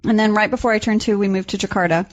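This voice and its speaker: A woman's voice: medium pitch, steady tone, fast, dull audio. A woman, born in Louisiana and raised in Indonesia, guesting on a radio show and telling bubbly, laughing anecdotes with acted-out quotes. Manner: bubbly, laughing, acts out quotes in funny voices. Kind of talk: casual anecdote chat. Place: radio studio.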